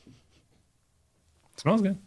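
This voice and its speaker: A man's voice: drawn-out words, darkish tone. A man with a Texan accent co-hosting a barbecue podcast in casual, joking chat. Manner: easygoing, joking, unboxing mail. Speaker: podcast co-host.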